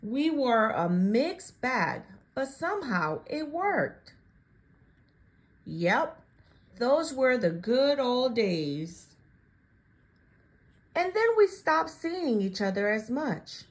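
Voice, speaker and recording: High, slow, lively woman reading to kids. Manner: expressive, slow and deliberate, acting out the story. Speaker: storyteller reading a children's picture book. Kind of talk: animated read-aloud for young children.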